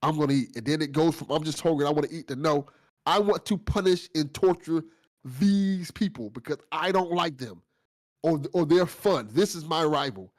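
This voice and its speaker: Enthusiastic man with a higher voice. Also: normal speed. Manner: effusive, informal, lightly sweary. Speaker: after-show host of a fiction podcast. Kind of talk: casual enthusiastic discussion.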